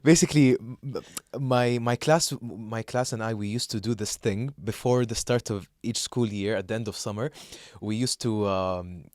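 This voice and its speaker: A man's voice: on the low side, moderate pace, hardly any pauses, a little mellow, no echo, clean studio sound. A young man from Saudi Arabia co-hosting a podcast, chatting casually and telling stories in a hesitant, rambling way. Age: young adult. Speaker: podcast co-host. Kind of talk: casual chat, telling personal anecdotes.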